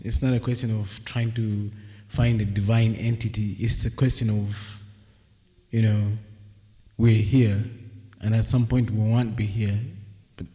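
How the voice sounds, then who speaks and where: A man's voice: lowish voice, level tone, measured pace, mellow, big-room sound. A man speaking as an author at a book launch, searching for words with many restarts. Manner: searching, restarts, thinks aloud.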